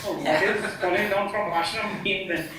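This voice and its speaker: Distant, echoey, high-pitched man asking questions. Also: fairly even intonation, normal speed, bright, forceful tone, slight background noise. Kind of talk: hesitant class questioning. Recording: far from mic in echoey room.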